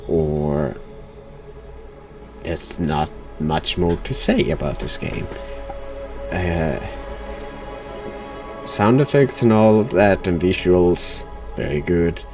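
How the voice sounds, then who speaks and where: Very deep, creaky man, slow and halting. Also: over music. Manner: halting, hesitant, word-repeating. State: has a cold. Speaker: streamer and horror game reviewer. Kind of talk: casual game commentary.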